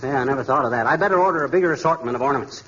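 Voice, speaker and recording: An actor playing a boastful, folksy, excitable middle-aged husband in a 1940s radio comedy, on a very noisy old recording. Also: male voice, medium pitch, racing, highs cut off, natural voice. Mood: casual, wisecracking.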